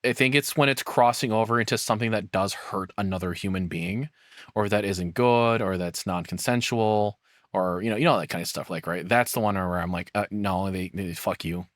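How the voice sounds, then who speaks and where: A man reacting casually off the cuff as a streamer, blunt and sweary, with halting phrasing. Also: on the low side, a bit bright, no room sound, clean studio sound.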